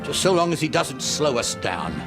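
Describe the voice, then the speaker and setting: A bossy, fretful nobleman in a medieval video game. His voice sits high and swoops dramatically as he gives orders in an excitable way, with music clearly heard underneath. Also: normal speed. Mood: brisk, bossy. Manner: imperious, excitable. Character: bossy, fretful, thrifty male lord of the manor. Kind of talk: video game dialogue acting.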